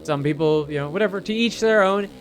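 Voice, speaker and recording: A man's voice: sits high, fast, a little hiss or hum. A man giving casual, rambling gameplay commentary as a video creator, often repeating phrases for emphasis. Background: racing game vehicle engine sounds.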